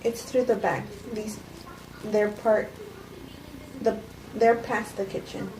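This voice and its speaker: An amateur woman reading a frail, hesitant widow in her fifties. The voice is medium in pitch and sits far from the microphone, with clear noise behind it. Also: fairly even intonation, slow, a little mellow, reverberant, natural voice. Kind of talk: plain cold read of a script by a non-actor. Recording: distant, noisy amateur room recording.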